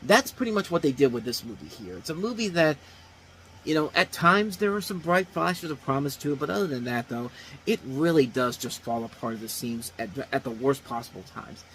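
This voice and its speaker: A man's voice: mid-range voice, lively intonation, fairly quick, a bit bright, low-quality recording. Thirties male host, loose unscripted film talk, restarts often, faint hiss behind. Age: 30s. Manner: rambling, restarts, repeats phrases. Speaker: movie reviewer host. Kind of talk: casual unscripted review talk.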